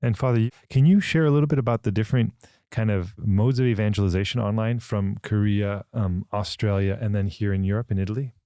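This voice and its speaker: A man's voice: on the low side, a little fast, few pauses, mellow, no room sound, studio quality. A man interviewing a priest, asking questions with short affirming replies and some stumbling restarts.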